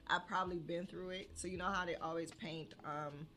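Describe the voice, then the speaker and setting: Woman near forty, low voice, casual podcast chat, faint music underneath. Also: steady tone, sharp tone. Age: 30s. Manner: chatty, laughs often, drawn-out fillers. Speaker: podcast co-host and small business owner.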